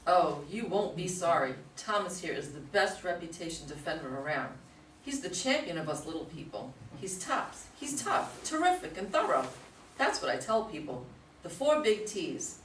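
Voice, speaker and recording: A woman playing an eager, high-energy assistant who gushes about her boss in an amateur play reading. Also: very animated, moderate pace, fairly bright tone. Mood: gushing, excited. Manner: gushing, eager. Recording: echoey room from far off, faint noise.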